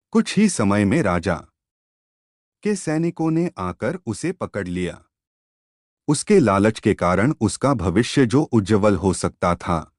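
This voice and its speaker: Slow, low-pitched man telling a story. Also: dry recording, clean studio sound. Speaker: storyteller, narrator. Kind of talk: scripted moral story narration.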